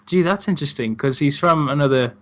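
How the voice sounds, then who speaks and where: A man chatting casually as a guest co-host, agreeable and quick to laugh. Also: fairly high pitch, pushed and bright. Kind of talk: casual review chat.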